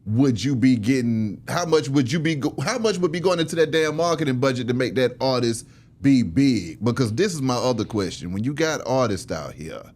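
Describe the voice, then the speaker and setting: A man's voice: medium pitch, animated, moderate pace, darkish tone. A man hosting an interview, asking questions in an informal, slangy way with some mild swearing. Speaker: interviewer, host.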